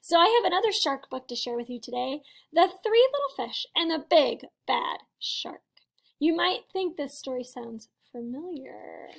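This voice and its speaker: A woman teacher doing an expressive storybook read-aloud for first graders, chatting to them and asking questions. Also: high-pitched, normal speed, vocal fry. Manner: expressive, addressing young children with questions. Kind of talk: animated children's read-aloud.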